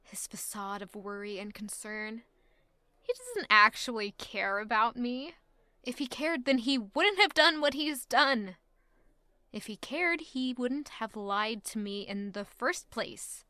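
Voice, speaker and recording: Bright, bitter young castle woman. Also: a little high, animated, slow, clean tone, no echo, full character voice. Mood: irritated, bitter. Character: stubborn, bitter young castle servant woman, guarded and irritated. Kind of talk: audio drama acting with first-person narration.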